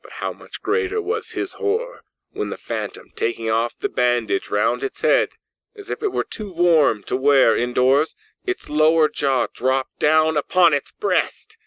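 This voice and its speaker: Slow-paced male storybook narrator, dry sound. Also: mid-range voice, a bit bright, no echo. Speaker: audiobook narrator. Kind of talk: scripted literary storytelling. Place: in-house recording, dry.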